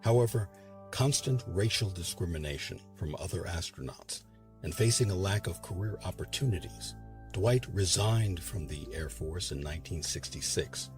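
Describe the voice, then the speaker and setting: A man's voice: low-pitched, animated, normal speed, faint music behind. A man reading scripted narration for a history documentary.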